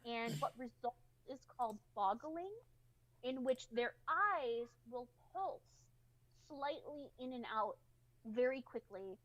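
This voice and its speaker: A woman's voice: fairly high pitch, animated, slow pace, a bit bright. A woman telling personal stories in a casual, candid chat with informal wording. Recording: slightly dull, lo-fi audio.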